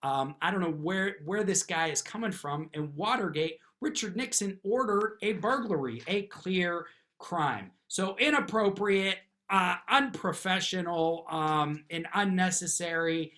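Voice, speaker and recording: A man with a high, forceful voice delivers off-the-cuff legal commentary on the news. He puts questions to himself and answers them firmly, tagging his points with quick checks that the listener follows. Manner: emphatic, often tags points with "okay?". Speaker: lawyer commentator, video host. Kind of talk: unscripted opinion commentary on news.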